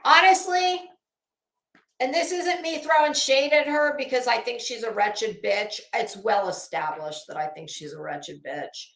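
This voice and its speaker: Female livestream host, high register, blunt and sweary, halting emphasis, recorded in a roomy, echoey space. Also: gravelly, a bit bright. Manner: blunt, profane, opinionated. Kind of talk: casual livestream commentary.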